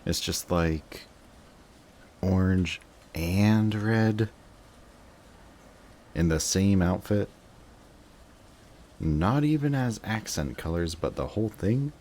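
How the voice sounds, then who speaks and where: A man's voice: low-pitched, expressive, slow, long pauses, darkish tone, heavily acted. A man playing a sarcastic, jaded supervillain in a scripted roleplay audio drama, with a dry, taunting way of talking to an old rival. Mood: mocking, animated.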